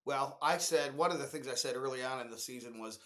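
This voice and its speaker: A man's voice: medium pitch, brisk, fairly bright tone. Emphatic man co-hosting a business podcast. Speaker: podcast co-host discussing marketing. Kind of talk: conversational business podcast discussion.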